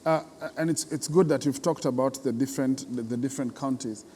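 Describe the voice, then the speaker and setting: A man with a Kenyan accent interviewing conversationally, feeling his way haltingly into his questions. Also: medium pitch, mellow. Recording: reverberant hall with background noise.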